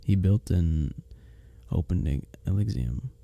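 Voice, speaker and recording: A man acting as a kind innkeeper from a medieval fantasy village, in an intimate ASMR audio drama. His voice is low and husky, with a gravelly edge. He speaks in gentle, formal, storybook phrases with a hesitant tenderness. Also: expressive, slow, no room sound, heavily acted. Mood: warm narrating.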